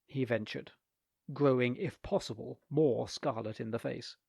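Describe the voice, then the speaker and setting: A man reading a humorous short story aloud in an animated, theatrical way. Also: mid-range voice, measured pace, gravelly, breathy edge, dry recording, studio quality. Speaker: reader of short stories.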